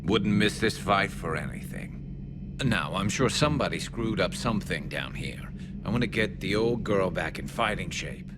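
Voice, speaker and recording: An actor playing a seasoned, loyal alien military officer in a video game, gravelly and measured, with dry understatement. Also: male voice, deep voice, expressive, heavy background noise. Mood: dry, warm. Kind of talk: video game dialogue acting. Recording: voice over loud ship ambience.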